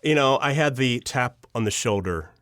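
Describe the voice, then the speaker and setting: A man speaks casually as an interview guest, recorded close and dry. His pitch swings widely as he tells personal stories, and he acts out reactions in an animated way. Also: moderate pace, dry recording. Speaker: interview guest, audio drama writer and producer.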